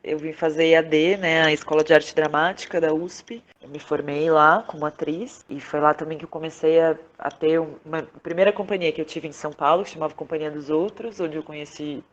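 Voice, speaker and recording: Brazilian woman, kinda low voice, podcast guest chat, thoughtful, keeps pausing to find words. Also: fairly even intonation, dull audio. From Porto Alegre, southern Brazil. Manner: halting, thoughtful, searching for words. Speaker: podcast guest, theatre director, playwright and actress. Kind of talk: reflective interview conversation.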